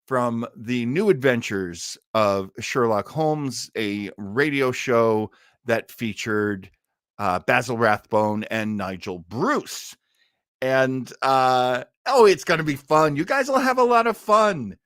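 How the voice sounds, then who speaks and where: A man's voice: mid-range voice, a bit bright, clean tone, no room sound. Enthusiastic man chatting, close dry sound. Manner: enthusiastic, rambling. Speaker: host of an old-time radio listening show. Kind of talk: casual livestream chat, replying to viewer comments.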